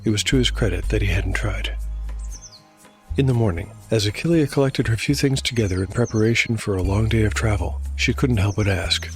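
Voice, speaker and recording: A man's voice: lowish voice, a little fast. A man narrating and hosting a fantasy audio drama podcast, reading from a script. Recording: faint music underneath. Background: faint music.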